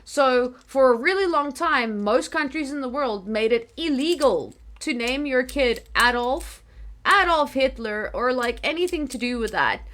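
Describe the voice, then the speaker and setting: A woman's voice: sits high, lively intonation, measured pace, a bit bright. A young woman hosting a casual livestream, thinking aloud in a rambling way with many fillers. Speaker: livestream host.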